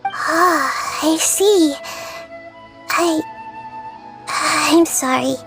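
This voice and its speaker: A woman voicing a small, girlish goddess of wisdom in a video game, soft, gentle and reassuring. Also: sits high, drawn-out words, heavily acted. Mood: apologetic. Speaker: Lord of Dendro. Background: game music.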